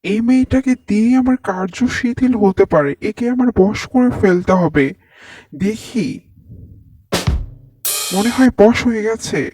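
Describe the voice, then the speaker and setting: A man's voice: sits high, a little slow, pauses often, a little mellow, no echo, faint music behind. A man voicing a scheming, commanding village exorcist who is a fraud, in an amateur cartoon. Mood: sly, scheming. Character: scheming fake exorcist healer, commanding and sly. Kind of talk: amateur animated cartoon voice acting. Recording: distant mic, noisy, clicks.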